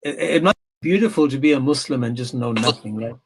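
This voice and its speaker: A man's voice: medium pitch, animated, fast, slightly rough. A man hosting a livestream debate, goading his guest with pointed questions. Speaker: debate stream host.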